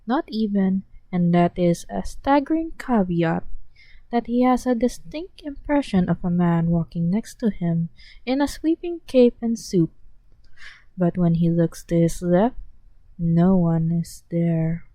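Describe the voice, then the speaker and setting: A woman's voice: lowish voice, unhurried, mellow, no echo, poor audio. A woman reading a fanfiction story aloud as an audiobook, earnest, with occasional small stumbles and self-corrections. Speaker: podfic reader.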